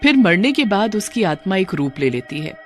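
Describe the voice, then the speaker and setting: A man reads scripted narration for a cartoon folk tale. His voice sits a little high and moves with very animated intonation, with music behind it. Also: moderate pace.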